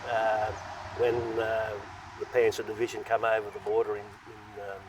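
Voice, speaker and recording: A man's voice: on the low side, completely flat delivery, moderate pace. A man in his seventies from Austria, casually recounting a family story in rambling bursts with many repeated words. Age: 70s. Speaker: interviewee. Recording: distant mic with faint vehicle noise.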